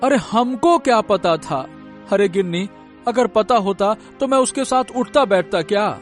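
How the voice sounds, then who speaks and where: A man's voice: high voice, a little slow, soft background music. A man voicing a gullible village farmer in a cartoon story, with a bewildered, rustic and at times blustering manner. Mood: helpless. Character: gullible, simple-minded village farmer father.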